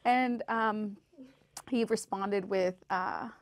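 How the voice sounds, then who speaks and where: A woman from southern Mexico, a podcast guest, telling her life story in a relaxed way with many ums. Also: mid-range voice, very slow, no echo. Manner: relaxed, frequent ums and uhs. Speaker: podcast guest, medical interpreter. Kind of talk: casual storytelling.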